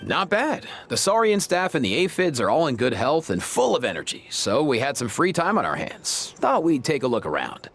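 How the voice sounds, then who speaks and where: A man playing an easygoing, upbeat young bro in a video game, with a breezy, slangy, slightly husky delivery. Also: fairly high pitch, huge pitch swings, normal speed, hardly any pauses, fairly bright tone, heavily acted. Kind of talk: animated video game acting. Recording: faint game music under the voice.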